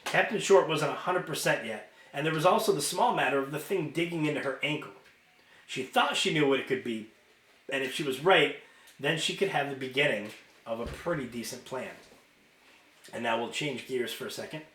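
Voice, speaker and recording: A man's voice: medium pitch, normal speed, fairly bright tone, reverberant, poor audio. A man reading a novel aloud as a storyteller, with informal asides. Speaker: reader of a children's novel.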